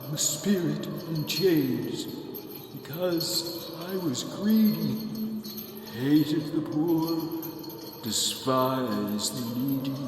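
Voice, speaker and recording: Mournful chained spirit, raised wailing pitch, slow drawn-out declaiming, stern warnings, storybook ghost, music behind. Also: male voice, lively intonation, very echoey, long reverb tail. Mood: ominous warning. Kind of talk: rhyming verse storytelling.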